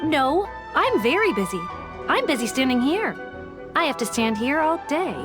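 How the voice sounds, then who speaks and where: A scornful young female detective from a video game, stubborn and haughty. Her fairly high voice is dry, snide and dismissive. Music plays clearly underneath. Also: sing-song, moderate pace. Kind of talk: English video game voice acting. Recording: background music under the dialogue.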